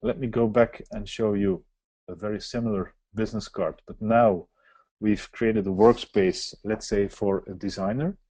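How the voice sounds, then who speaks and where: Man for a webinar software walkthrough; explanatory, mellow tone, dry close sound. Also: fairly low pitch, steady tone, moderate pace, no echo. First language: Dutch. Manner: explanatory, walks through on-screen steps. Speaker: software presenter. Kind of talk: live software demo webinar.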